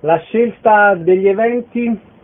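A man's voice: high voice, slow. A man hosting a radio show, conducting interviews as the presenter. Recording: thin phone-like band-limited radio sound.

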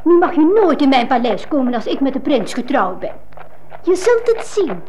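A woman's voice: high-pitched, very animated, normal speed, background noise. A woman with a Flemish accent playing a vain, boastful young stepsister in theatrical 1950s fairy-tale radio acting. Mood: boastful, haughty. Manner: haughty, squabbling, theatrical.

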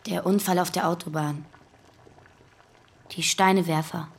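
A woman's voice: on the low side, steady tone, unhurried, creaky, breathy edge, full character voice. An actress playing a guarded, defiant young woman, terse and evasive, in a naturalistic radio drama. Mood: uneasy.

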